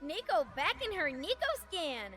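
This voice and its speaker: A woman's voice: sits high, very animated, normal speed, a bit bright, faint music behind. A woman voicing a playful, eager young shapeshifting chameleon creature in a video game, with quirky broken grammar. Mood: cheerful. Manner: quirky broken grammar, third-person self-reference. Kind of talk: video game character voice lines.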